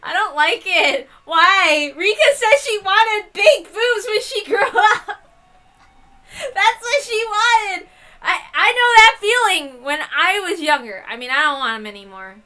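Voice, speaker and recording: A woman reading a visual novel aloud in an expressive way, with casual asides as the host. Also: extremely high pitch, unhurried.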